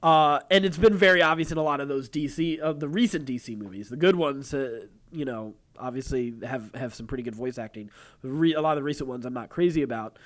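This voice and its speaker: A man co-hosting a podcast in casual chat, speaking in a halting, digressive way with chuckles. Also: mid-range voice. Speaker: podcast co-host. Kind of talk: casual chat about TV and voice acting.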